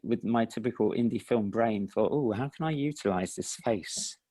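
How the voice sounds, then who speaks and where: A man with an Estuary English accent, speaking in a fairly low voice. He chats easily as an interview guest, self-deprecating and given to restarts. The sound is dry and close. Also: moderate pace, no echo. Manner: relaxed, self-deprecating, with frequent restarts and laughs. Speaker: indie filmmaker and teacher, guest. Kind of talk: casual interview chat.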